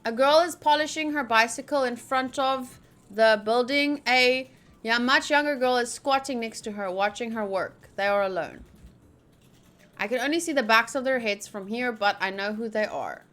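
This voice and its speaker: A woman's voice: fairly high pitch, moderate pace, fairly bright tone. A woman streaming a game, reading its text aloud and chatting casually with playful asides.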